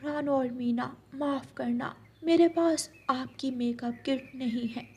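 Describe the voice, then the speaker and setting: A woman voicing a gentle talking river with a soft, measured manner in a cartoon. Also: sits high, steady tone, slightly rough, heavily acted.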